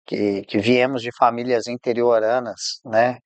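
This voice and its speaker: Reflective host. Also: male voice, mid-range voice, level tone, a little slow, no room sound. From Contagem, Minas Gerais, Brazil. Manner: reflective, frequent tag questions. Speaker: podcast host. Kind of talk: casual interview chat.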